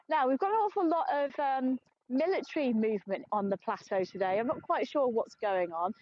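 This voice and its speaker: An English woman in middle age leads a tour outdoors, talking in a chatty, self-mocking way with a tired edge. Her voice sits a little high. The sound is dry and dull, like a phone stream. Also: animated, normal speed, no room sound. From England. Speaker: tour guide. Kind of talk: live-streamed walking tour. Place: outdoors on an open plateau.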